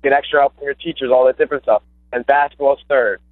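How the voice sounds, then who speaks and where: A man's voice: mid-range voice, fairly even intonation, fast talker, no room sound. A young man calling in as a guest, talking eagerly with lots of fillers and restarts. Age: young adult. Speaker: guest, former high school basketball player. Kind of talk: casual phone interview. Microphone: phone call. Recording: tinny phone line.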